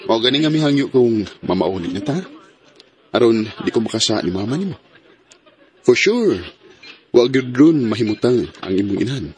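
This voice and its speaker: A man's voice: medium pitch, big pitch swings, moderate pace, long pauses, a little mellow, natural voice. An actor playing a polite, dutiful young man in a radio drama, earnest and mixing in English. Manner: earnest, mixes English into his speech.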